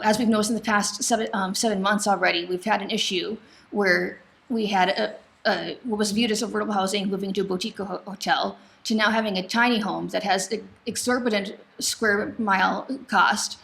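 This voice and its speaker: Brief: American female candidate, fast talker with noticeable vocal fry, forum answer. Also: mid-range voice, fairly bright tone. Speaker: city council candidate. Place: local TV studio.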